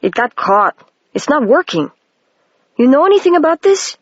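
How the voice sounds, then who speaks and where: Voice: high voice, very animated, moderate pace, no room sound, voice pushed up. A reader voicing a shy, earnest young boy who is a wizard, in a mildly raised version of their plain reading voice, on a dry, dull lo-fi home recording.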